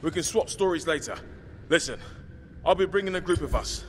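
Cocky British man, animated voice. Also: on the high side, fairly quick, a little hiss or hum. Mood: breezy. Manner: wry, glib, breezy bravado. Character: cocky, flippant, wisecracking male survivor. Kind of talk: video game cutscene acting.

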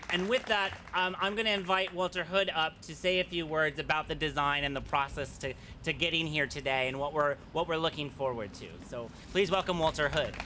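A man's voice: fairly high pitch, background noise. Earnest man thanking partners outdoors. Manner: earnest, slightly halting, with fillers. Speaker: arts official. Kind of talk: ceremony speech with thank-yous. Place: outdoor plaza at a groundbreaking. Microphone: podium mics.

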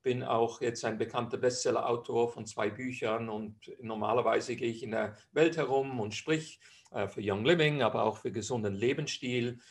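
A middle-aged Swiss man with a Swiss German accent gives an online lecture over slides. His voice carries a gravelly edge at a middle pitch, and he talks in a chatty, explanatory way with frequent hesitations. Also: moderate pace. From Switzerland. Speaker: physician presenter.

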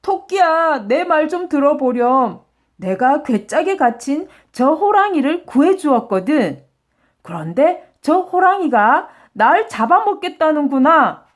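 A woman acts out a kindly but flustered traveler man from a storybook. The voice is high and very lively, with earnest, exclamatory, old-fashioned phrasing. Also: measured pace. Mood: flustered explaining. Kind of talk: children's storybook character voice.

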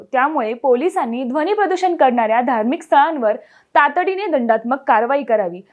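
A woman news presenter, reading a scripted news explainer in a clear, measured way. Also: high-pitched, darkish tone.

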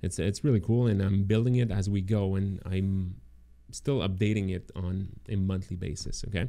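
Man in his thirties, low mellow tone, tired throaty voice, casual filler-heavy livestream pitch. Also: fairly even intonation, normal speed, gravelly. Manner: rambling, many fillers and restarts. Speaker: agency owner presenting course bonuses. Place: home room indoors. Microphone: close studio mic in frame.

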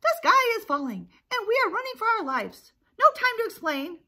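Woman acting out a shrill, panicky little chicken. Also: steady tone, normal speed, a little mellow, natural voice. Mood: panicked, frantic. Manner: breathless exclaiming and repeating. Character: excitable, panicky, foolish young male chicken. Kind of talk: children's storybook read-aloud.

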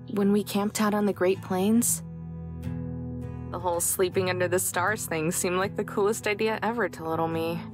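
A woman acting as a heartbroken young woman in a visual novel. Her voice is on the low side and creaky, confiding and wistful, with music playing clearly behind it. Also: natural voice. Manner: wistful, confiding, emotionally raw. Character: heartbroken young woman on a desert road trip. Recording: background music under voice.

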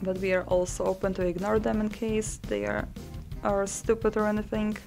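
Woman with a gravelly voice. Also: medium pitch, moderate pace. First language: Polish. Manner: relaxed, dry humor, thinks aloud with ums. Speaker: mod developer showing a game. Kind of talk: casual gameplay commentary. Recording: game music behind the voice.

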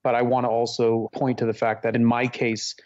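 A man in a podcast interview speaks candidly about his own past, earnest and often pausing to find the right words. His voice sits in a middle register. The recording is dull and lo-fi, with the top end rolled off. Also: mid-range voice, flat delivery, darkish tone. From Hong Kong. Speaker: podcast guest, psychotherapist and Buddhist teacher. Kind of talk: reflective personal storytelling.

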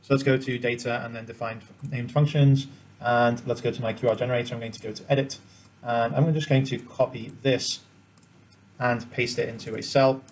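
A man's voice: medium pitch, steady tone, moderate pace. A man presenting an informal screen-share tutorial, explaining each step as he demonstrates it. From Cambodia.

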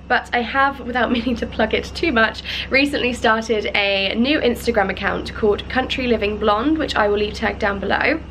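A young woman vlogging casually to camera at arm's length in her living room, bubbly and chatty, her voice slightly croaky from a cold. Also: on the high side, fairly bright tone, a little hiss or hum, rough recording. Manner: bubbly and chatty, many ums. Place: bright home living room indoors. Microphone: handheld camera at arm's length.

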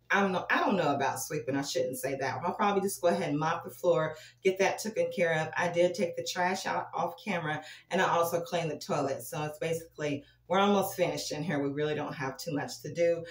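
A woman in her forties talking casually to the camera in a small apartment bathroom, chatty and encouraging as she cleans. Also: lowish voice. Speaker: cleaning vlogger. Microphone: camera on the counter a few feet away. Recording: roomy bathroom echo, distant mic.

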